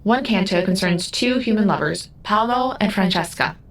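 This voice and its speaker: A woman's voice: mid-range voice, normal speed, natural voice. A woman playing a young otherworldly female guide in an audio drama, solemn, sad and a little wry. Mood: solemn, explanatory.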